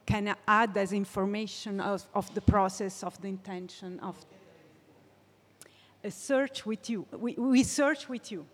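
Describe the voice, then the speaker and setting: A woman's voice: medium pitch, fairly even intonation, a little slow. A woman moderating a panel, asking questions hesitantly with many fillers. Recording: long hall reverb.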